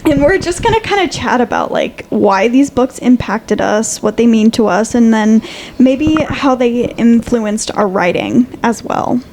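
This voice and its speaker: A woman's voice: fairly high pitch, lively intonation, unhurried, a little mellow, slight background noise. A woman co-hosting a podcast in a casual, chatty style. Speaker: podcast co-host. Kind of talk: casual chat.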